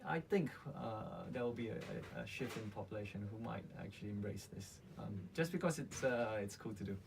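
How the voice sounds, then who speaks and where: Low-voiced man speaking haltingly, from off. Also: expressive, creaky. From Malaysia. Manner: hesitant, halting, earnest. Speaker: interview guest, company founder. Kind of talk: casual interview conversation. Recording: distant mic, faint background noise.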